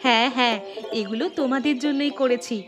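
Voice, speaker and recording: A generous young village housewife from a cartoon fable , played by a woman. Her voice is fairly high, her manner modest and earnest, and music plays clearly behind her. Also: steady tone, brisk, lightly acted. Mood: kindly, earnest. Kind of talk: Bengali moral-story cartoon dialogue. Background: background music.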